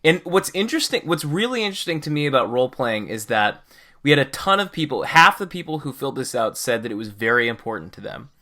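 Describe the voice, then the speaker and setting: A man's voice: mid-range voice, very animated, fast talker, pushed and bright. A man co-hosting a podcast, explaining things in a casual, conversational way. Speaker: podcast co-host.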